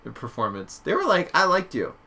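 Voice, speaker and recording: Man, bright forceful voice, mid pitch, casual podcast chat, faint background noise. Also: wildly swooping pitch, fairly quick, gravelly. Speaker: podcast co-host.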